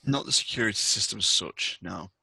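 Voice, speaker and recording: A man's voice: lowish voice, expressive, fairly quick, vocal fry, sharp tone, husky, rough recording. A man refereeing a tabletop roleplaying game in casual conversation. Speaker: game master.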